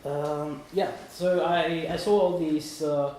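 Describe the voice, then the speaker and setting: Young guy, student from germany/italy doing a loose talk, kinda high voice, hesitant n rambly, super echoey room. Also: steady tone, takes it slowly, darkish tone. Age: 20s. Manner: hesitant, rambling, self-deprecating. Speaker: student presenter giving a talk. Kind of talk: informal live presentation. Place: indoor room at a small meetup. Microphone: distant room microphone. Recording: echoey room, distant mic, background noise.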